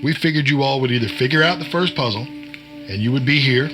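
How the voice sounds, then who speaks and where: A man's voice: medium pitch, fairly quick, music in the background, natural voice. A man playing a cagey, wisecracking veteran insider in an audio drama, with a folksy, chiding, cryptic manner. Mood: guarded, explaining. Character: cagey, wisecracking male veteran insider and short-order cook.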